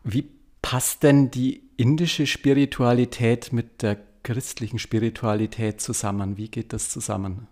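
A man's voice: mid-range voice, steady tone, a little slow, darkish tone. Man hosting a podcast interview. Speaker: podcast host.

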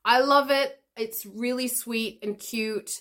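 Lively woman hosting an English podcast. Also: a little high, slow. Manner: lively, expressive, addresses listener directly. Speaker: English teacher and podcast host. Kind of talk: solo podcast lesson, chatty advice.

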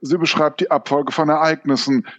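A man's voice: on the high side, fairly even intonation, a little fast, lo-fi sound, natural voice. A man voicing a pedantic, philosophical burning giraffe in a surreal audio drama, hesitating like a professor as he explains. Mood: lecturing.

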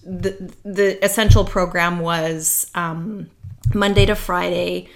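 Reflective woman with a lowish voice. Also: fairly even intonation, takes it slowly, creaky. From Canada. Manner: reflective, detailed storyteller. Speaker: guest. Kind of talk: casual conversation, personal storytelling.

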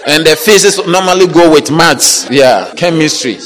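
A man preaching an animated sermon, quizzing the congregation and breaking into shouts and laughter. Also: fairly high pitch, moderate pace. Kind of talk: lively church sermon. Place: church. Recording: reverberant church hall with congregation.

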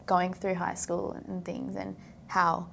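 Chatty young woman, gravelly edges. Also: lowish voice, completely flat delivery, slow pace, a bit bright, a little hiss or hum. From South Africa. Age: young adult. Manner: chatty, filler-heavy, frequent "like". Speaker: podcast guest. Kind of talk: casual interview chat.

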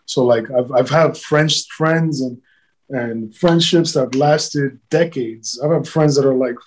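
A man's voice: mid-range voice, measured pace. A man, a guest artist talking bluntly and swearing freely in a casual podcast chat. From South Bronx, New York.

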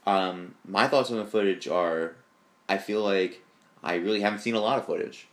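Deep-voiced man in casual fan chat. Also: moderate pace. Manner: rambling, enthusiastic fan talk with fillers. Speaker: podcast co-host.

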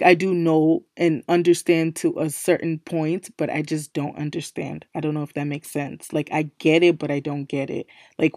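A young woman hosting a casual solo podcast, her voice still low and worn from recently losing it, chatty and emphatic, circling back to repeat her points. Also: a little mellow, dry recording, studio quality. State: recovering from a lost voice, speaking low. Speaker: podcast host.

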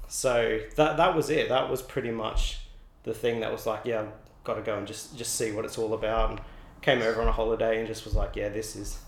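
A man's voice: lowish voice, slight background noise. A young Australian man, an artist, chatting in an interview in a loose, rambling way full of "like" and restarts. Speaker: artist guest. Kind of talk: casual interview. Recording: roomy echo.

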